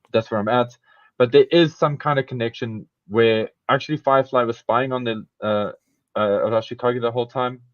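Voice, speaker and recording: A man hosting a podcast, talking in a loose, rambling way that keeps circling back on itself. His voice sits at an ordinary pitch. The sound is a little muffled and very dry. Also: steady tone, brisk, no room sound. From South Africa. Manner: rambling, self-correcting, laughs often. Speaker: podcast host. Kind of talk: casual podcast chat.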